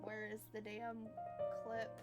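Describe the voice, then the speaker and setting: A young woman with an American accent giving casual live game-stream commentary in an excitable, slangy fangirl manner. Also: mid-range voice, slow pace, over loud music.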